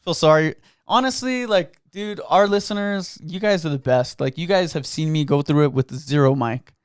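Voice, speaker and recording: Young new mexico dude hosting his pod, loud and hyped, lots of bro and dude, dry close mic. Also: on the high side, normal speed, clear, resonant tone, no room sound. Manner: loud, animated, says bro and dude a lot. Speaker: podcast host. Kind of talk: casual chat. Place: small podcast studio. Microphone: studio podcast mic.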